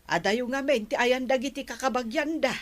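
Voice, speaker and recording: A woman's voice: sits high, brisk, no room sound, close to an everyday voice. A woman playing a nosy, chatty village neighbour in a radio comedy drama, with an Ilocano accent. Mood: gossipy.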